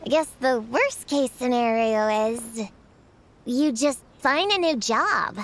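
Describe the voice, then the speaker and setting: A woman's voice: a little high, expressive, slow pace, a bit bright, exaggerated voice. A woman voicing a precocious, earnest little girl witch hunter in a video game.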